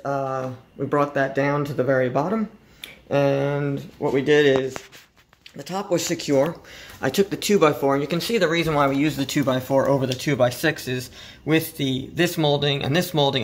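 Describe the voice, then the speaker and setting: Gravelly-voiced man explaining a DIY build. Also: medium pitch, a little hiss or hum. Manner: relaxed, self-deprecating, explaining step by step. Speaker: DIY video host. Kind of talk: casual how-to narration. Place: home living room.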